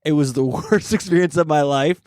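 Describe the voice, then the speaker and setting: Smooth-voiced man joking casually, dry sound. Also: brisk, darkish tone, no room sound. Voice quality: naturally high voice. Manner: crude, joking. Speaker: podcast co-host. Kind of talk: casual comedic banter.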